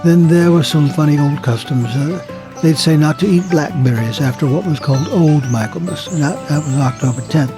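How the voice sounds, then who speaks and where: An actor playing a sick, gentle old grandfather in an audio drama, pious and nostalgic, reminiscing tenderly and without hurry. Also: a little high, normal speed, music in the background, close to an everyday voice, rough recording. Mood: fondly nostalgic. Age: older. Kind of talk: full-cast audio drama acting.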